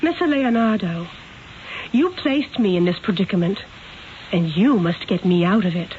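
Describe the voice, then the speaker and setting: An actress playing a distressed but insistent wife in a radio drama, speaking in a dramatic, pleading way. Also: female voice, mid-range voice, expressive, moderate pace, dull audio, lightly acted. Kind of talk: old-time radio drama acting. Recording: thin, hissy old radio recording.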